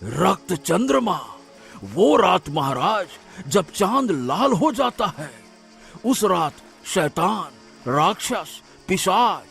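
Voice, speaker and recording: Gravelly, high-pitched sly sorcerer. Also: male voice, fairly bright tone, full character voice. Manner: wheedling, drawn-out, chuckling delivery. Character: sly sinister tantric sorcerer flattering a king. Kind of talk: cartoon story voice acting.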